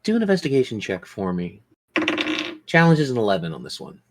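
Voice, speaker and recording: Low-voiced man running a tabletop game. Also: huge pitch swings, fairly quick, a little hiss or hum. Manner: descriptive, prompting players with questions. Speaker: game master. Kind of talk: narrating a tabletop role-playing game.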